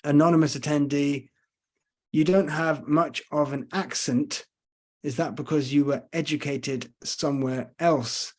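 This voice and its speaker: A man's voice: fairly high pitch, steady tone, measured pace. Guy with a londony accent, bit scottish, chatty online guide, lots of ums, laptop mic. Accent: London with slight Scottish traces. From Scotland and London. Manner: chatty, hesitant with many fillers. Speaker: tour guide. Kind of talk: casual online lecture with Q and A. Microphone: computer mic on video call.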